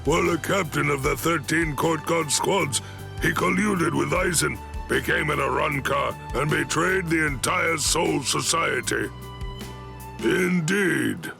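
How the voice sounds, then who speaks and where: A deeply creaking, gravelly old commander speaks with formal, measured authority. He is stern yet kindly, an aged head captain from an anime video game. His voice sits at an ordinary male pitch, with prominent music playing behind it. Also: pushed and bright, heavily acted. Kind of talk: scripted anime game cutscene dialogue.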